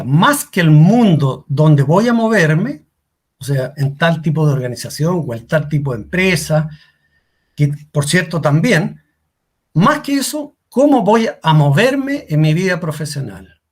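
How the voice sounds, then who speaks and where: A man's voice: a little high, very animated, measured pace, breathy edge. A middle-aged man giving a career workshop talk as a coach, asking rhetorical questions and repeating key lines for emphasis. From Chile.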